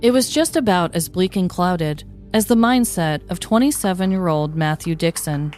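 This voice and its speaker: A woman co-hosting a podcast, chatting and telling stories in an expressive, emphatic way. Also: on the low side, normal speed, soft background music. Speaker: podcast co-host. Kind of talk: casual chat and storytelling.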